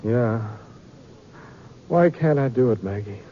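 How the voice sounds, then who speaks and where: An actor playing a high-strung, despairing stage actor in an old-time radio drama, melodramatic and stammering. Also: male voice, animated, slow pace, dark tone. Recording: dull old radio recording, faint noise.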